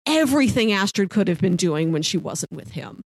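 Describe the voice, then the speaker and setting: A woman's voice: mid-range voice, very animated, fairly quick, darkish tone, no echo. A woman co-hosting a casual podcast discussion of a TV show, talking in an enthusiastic, appreciative way. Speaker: podcast co-host.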